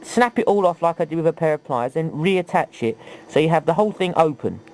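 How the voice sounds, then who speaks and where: A man's voice: a little high, animated, a little fast, darkish tone, rough recording. A man giving an informal, chatty hands-on tutorial, often asking rhetorical questions. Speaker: video presenter, hobbyist demonstrator. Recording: homemade video with faint background noise.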